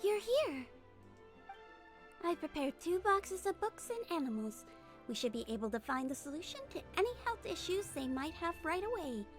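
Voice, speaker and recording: A tiny, girlish game nurse speaks in an extremely high voice. She is gentle, earnest and polite, choosing her words in a measured way over background music. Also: very animated. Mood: eager. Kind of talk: game fan dub dialogue. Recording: music behind voice.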